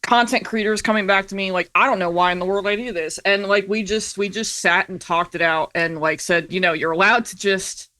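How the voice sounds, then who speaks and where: A woman's voice: medium pitch, a little fast, hardly any pauses, sharp tone. A woman talking casually and candidly as a podcast guest, telling informal stories and acting out bits of past conversations. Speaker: podcast guest, creative filmmaker.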